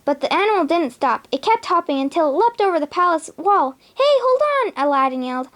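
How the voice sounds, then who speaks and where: A woman's voice: high voice, animated, quick pace, fairly bright tone. A young female reader reading a children's bedtime story aloud, now and then stumbling and rereading words. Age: child or teen.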